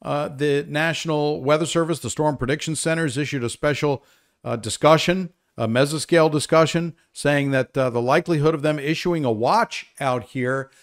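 A man's voice: a little high, moderate pace. A man presenting a live, unscripted weather briefing, explaining things in a conversational way.

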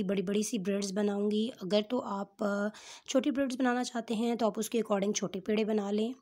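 A woman's voice: medium pitch, mellow. A woman with a Pakistani Urdu accent hosting a cooking channel, explaining each step of a recipe patiently and with frequent fillers.

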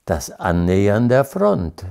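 An older man from Farther Pomerania, an eyewitness in a documentary interview, recalling his past slowly and haltingly with hesitations and self-corrections, recorded in a living room. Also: fairly low pitch, sing-song, gravelly, a little mellow. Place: living room with bookshelves, indoors.

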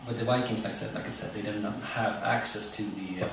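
Echoey, low-voiced man lecturing. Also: steady tone, creaky. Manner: halting, explanatory. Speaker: musician giving a lecture. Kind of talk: informal lecture to an audience. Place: club room with seated audience, indoors. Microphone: stage mic through speakers, recorded from afar. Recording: echoey, noisy hall recording from far off.

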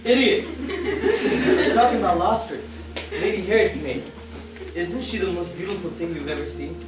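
A man's voice: high voice, big pitch swings, moderate pace, heavy background noise. A young man playing an earnest, lovestruck slave in a stage play, speaking ardently. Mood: smitten. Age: young adult. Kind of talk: amateur romantic stage acting. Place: small theatre stage. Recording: very echoey theatre, distant, music behind.

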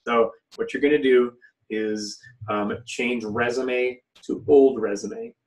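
A man giving an informal, step-by-step software tutorial as an instructor. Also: medium pitch, steady tone, slow. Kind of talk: informal step-by-step screen-share walkthrough. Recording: voice somewhat distant from the mic.

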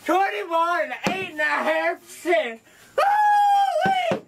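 An actor playing a gleeful, cackling old backwoods coot in a western horror film, folksy, whooping and excitable. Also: male voice, very high voice, slow, creaky, recorded from a distance, noisy background, full character voice. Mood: gleeful gloating.

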